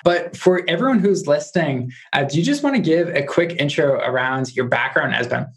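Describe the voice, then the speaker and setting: A man's voice: fairly high pitch, animated, normal speed, a bit bright. Chatty podcast host man. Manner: chatty, many "like" fillers. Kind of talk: casual interview chat.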